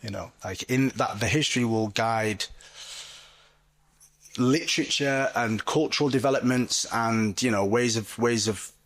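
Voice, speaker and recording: A man speaking as an author on a festival panel, thinking aloud reflectively and often pausing with fillers. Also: mid-range voice, a bit bright. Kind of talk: panel discussion.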